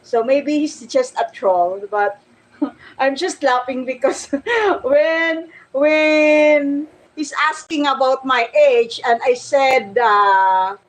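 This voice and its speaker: Filipino lady doing a casual vlog chat, really slow and halting, giggly type, voice a bit high. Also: low-quality recording. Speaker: vlogger.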